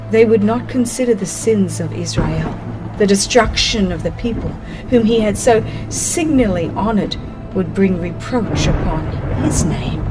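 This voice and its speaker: A woman reading scripted religious narration in a solemn, measured and expressive manner. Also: mid-range voice. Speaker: audiobook narrator. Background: background music.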